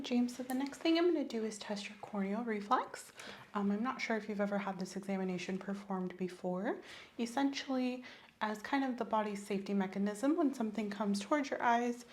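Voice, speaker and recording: A soft, slightly breathy woman plays a doctor in an ASMR exam roleplay. She gives gentle, reassuring instructions at an ordinary pitch, close to the microphone, with faint noise and small clicks in the recording. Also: moderate pace. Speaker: ASMR creator playing a doctor.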